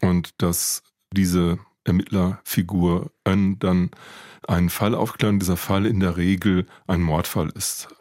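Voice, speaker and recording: A man speaking as an expert guest, explaining things in a measured, academic way. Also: deep voice, flat delivery, a little mellow, dry recording, studio quality. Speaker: expert guest, literature professor. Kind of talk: explanatory interview talk.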